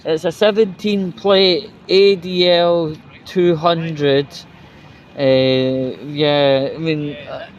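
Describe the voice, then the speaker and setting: A man's voice: a little high, steady tone, very slow. A British man giving a casual, rambling, sweary vlog rant to viewers in an indignant, sarcastic manner. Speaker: vlogger. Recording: dull lo-fi recording with loud outdoor traffic noise.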